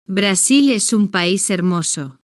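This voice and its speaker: Woman narrator for a learner story, slow and deliberate, dry close sound. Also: mid-range voice, animated, no room sound. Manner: slow, deliberate, evenly paced short sentences. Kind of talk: slow scripted first-person story narration for beginners.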